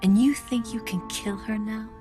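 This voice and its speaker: A woman's voice: on the high side, fairly even intonation, normal speed, natural voice. A woman with an American accent voicing a confident, flirtatious female spy in a video game, self-assured, teasing and probing. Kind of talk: video game cutscene voice acting. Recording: music behind the voice.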